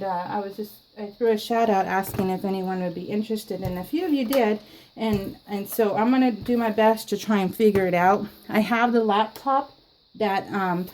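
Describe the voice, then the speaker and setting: A woman chatting casually to her viewers while she scrapbooks, giggling often and filling pauses with ums and restarts. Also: mid-range voice, darkish tone, a little hiss or hum, rough recording. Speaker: scrapbooking YouTuber. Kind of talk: casual chat while crafting.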